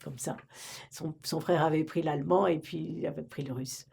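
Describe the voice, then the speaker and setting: Older woman, husky, mellow low voice, hesitant, oral history interview. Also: fairly even intonation, normal speed. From Romania. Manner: hesitant, many restarts and fillers. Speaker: retired librarian.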